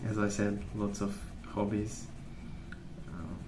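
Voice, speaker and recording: A soft-spoken man rambling in an ASMR style, with a hesitant, meandering and unhurried way of recollecting. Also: fairly low pitch, level tone. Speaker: ASMR video host. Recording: roomy, distant mic, noisy background. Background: pencil colouring sounds, background noise.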